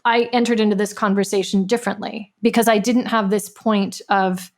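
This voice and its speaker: Mid-pitched woman, halting and reflective. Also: fairly even intonation. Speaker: playwright. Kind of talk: reflective discussion of own work.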